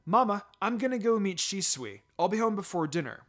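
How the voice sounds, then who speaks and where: Guy doing a little ninja girl, eager and childlike, highish voice, swings around a lot. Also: sing-song, brisk, fairly bright tone, exaggerated voice. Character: eager, gifted four-year-old ninja girl.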